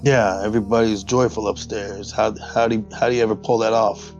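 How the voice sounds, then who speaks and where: A man's voice: fairly low pitch, steady tone, brisk, darkish tone. A man playing a tabletop role-playing game, talking casually and improvising, on a dull lo-fi recording with music behind.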